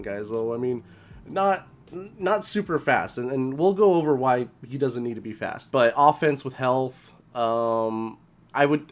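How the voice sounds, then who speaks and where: A young man with a slightly hoarse voice, talking casually at home through a game strategy walkthrough, full of ums and restarts. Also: medium pitch. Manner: chatty, many ums and restarts. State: slightly hoarse, recovering from illness. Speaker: game guide creator. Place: home room, indoors.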